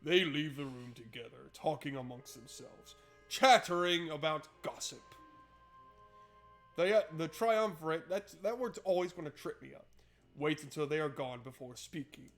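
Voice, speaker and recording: High-ish-voiced, joking male streamer. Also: normal speed, faint music behind. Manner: joking, informal. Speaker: game streamer. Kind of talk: casual playthrough commentary with read-aloud narration.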